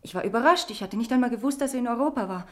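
A woman's voice: a little high, expressive, very fast, close to an everyday voice. An actress playing a frightened young woman with an addiction, confessing earnestly in in a radio crime drama. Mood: confessional, tense.

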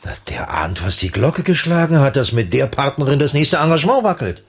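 An actor playing an exasperated, sarcastic circus director in a radio drama, with a husky voice. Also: male voice, mid-range voice, big pitch swings, a little fast. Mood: resigned. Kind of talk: 1980s radio drama dialogue.

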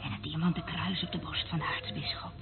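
A woman's voice: low voice, level tone, brisk, creaky, soft background music. An actress playing a dreamy, sensitive, experienced woman in a radio play, soothing and reflective in her manner. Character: dreamy, sensitive experienced female surveillance listener. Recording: tinny band-limited old radio recording.

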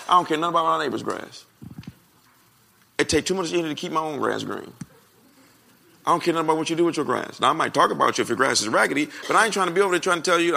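An American man preaching and answering questions in a naturally loud voice, with a folksy, emphatic way of talking that repeats phrases for effect. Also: fairly high pitch, gravelly. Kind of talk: conversational sermon with question and answer.

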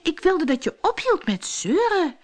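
A woman's voice: very high voice, big pitch swings, a little slow, pitched above the performer's own voice, heavily acted. A woman voicing a sensible, exasperated female hedge in a storybook, with an emphatic manner. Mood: impatient. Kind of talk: fairy-tale storybook voice.

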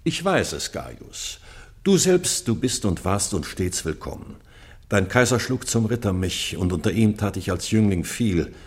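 A low-voiced, stately king in a classical radio play. He declaims with proud defiance and courtesy, his husky voice rising and falling widely. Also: sing-song, a little slow, exaggerated voice. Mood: dignified, resolute. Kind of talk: classical radio drama acting.

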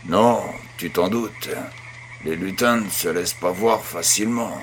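Man playing a tender old grandfather, low voice. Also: a little slow, noisy background. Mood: storytelling. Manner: tender, patient, encouraging. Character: affectionate old farmer grandfather, patient storyteller. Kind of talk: French audio drama acting.

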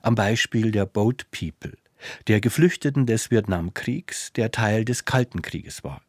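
A man's voice: on the low side, a little slow, dry recording, clean studio sound. A man presenting radio plays in scripted narration.

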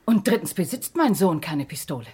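A woman playing an indignant, strong-willed mother in a German radio crime drama, husky and emphatic. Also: fairly low pitch, very animated, fairly quick, darkish tone, full character voice. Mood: indignant, defensive.

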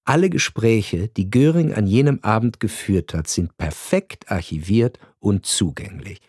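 A man reading scripted literary narration for a radio production, in a measured, deliberate, essayistic manner. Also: lowish voice, expressive, a little mellow, slightly rough, dry recording. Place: radio studio. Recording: dry studio recording.

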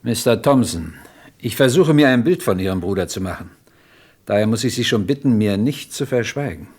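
Low-voiced, insistent police inspector. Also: male voice, animated, moderate pace, darkish tone, breathy edge. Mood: probing. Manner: probing, formal questioning. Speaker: actor. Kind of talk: radio crime drama acting.

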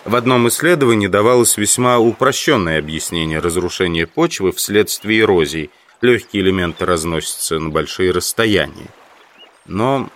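A man narrating a literary audiobook in the first person, with measured, carefully phrased, expressive delivery. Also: fairly low pitch.

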